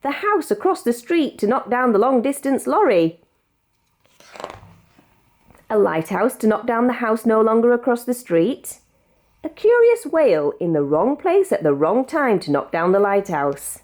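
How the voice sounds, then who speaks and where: A British woman narrates a children's storybook in a fairly high voice. Her pitch swings up and down in a very animated way, with expressive, measured delivery suited to reading aloud.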